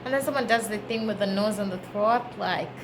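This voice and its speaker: A woman's voice: mid-range voice, a little fast. A woman from Kenya with a Kenyan accent, chatting casually to camera as a travel vlogger and explaining things in a conversational way. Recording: clearly noisy background ambience.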